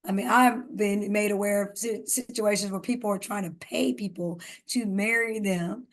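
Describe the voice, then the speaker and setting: A woman's voice: medium pitch, steady tone, moderate pace. A woman co-hosting a podcast, talking earnestly and giving emphatic advice in a casual conversation. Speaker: podcast co-host.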